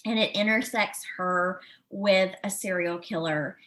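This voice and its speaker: A woman's voice: medium pitch, steady tone, unhurried, a bit bright, rough recording. An American woman in her forties from Southern Illinois, talking casually as an author guest in an interview. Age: 40s. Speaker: podcast guest, novelist.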